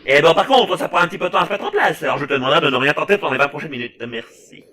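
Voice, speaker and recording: A man's voice: a little high, lively intonation, rapid-fire, pushed and bright. A man playing a gleeful, vain villain knight in a comic anime parody dub, pompous and mock-dramatic. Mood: smug. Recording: faint music behind the voice.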